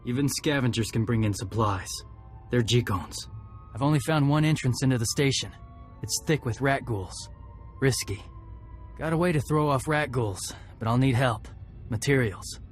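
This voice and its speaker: An actor playing a serious, honor-bound tracker in a video game cutscene, talking in clipped, matter-of-fact phrases. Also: male voice, mid-range voice, moderate pace, faint music behind, close to an everyday voice. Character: terse, serious male Mandalorian tracker seeking honor.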